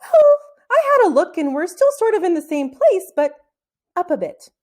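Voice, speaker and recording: A woman's voice: extremely high pitch, sing-song, moderate pace, a little mellow, voice pushed up. A woman voicing a bold, curious schoolboy in a children's storybook, eager and persuasive. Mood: reassuring, slightly strained. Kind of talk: children's storybook read-aloud.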